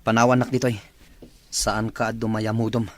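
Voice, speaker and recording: An actor playing a grumbling, demanding husband in a radio-style family drama. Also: male voice, on the low side, little pitch movement, normal speed, lightly acted. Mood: irritated.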